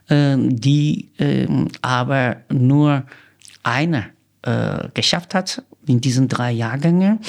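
Slow-speaking older Chinese-accented man. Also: mid-range voice, vocal fry. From Sichuan, China. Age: 60s. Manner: halting, searching for words. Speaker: professor, interview guest. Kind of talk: recounting own life in an interview.

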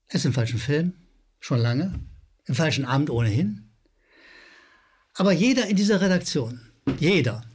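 Sardonic Rhineland man, somewhat high-voiced. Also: a little mellow. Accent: slight Rhineland German. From Rhineland, Germany. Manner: sardonic, emphatic, repeats words for effect. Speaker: host of a media criticism show. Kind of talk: opinionated commentary addressed to viewers.

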